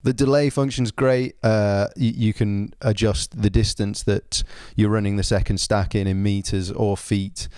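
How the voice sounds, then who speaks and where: Low-voiced man reviewing gear. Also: little pitch movement, measured pace, few pauses, a little mellow, clean tone, no room sound, studio quality. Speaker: product reviewer. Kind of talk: informal spoken product review.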